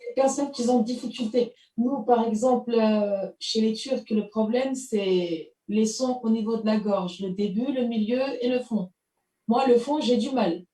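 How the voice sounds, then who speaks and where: A woman's voice: a little high, a little slow, warm tone. A woman hosting an interview, speaking in a chatty, hesitant way full of fillers. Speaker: TV show host. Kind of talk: casual interview conversation. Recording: roomy, distant mic.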